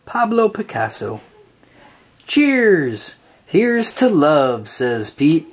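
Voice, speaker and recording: Expressive, slow storybook reader, husky voice. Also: medium pitch. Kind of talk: read-aloud of a children's picture book.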